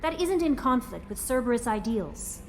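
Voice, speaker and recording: A woman's voice: a little high, very animated, normal speed, slight background noise. A woman playing an earnest, personable young ship's aide in a video game. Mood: upbeat. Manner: eager, personable.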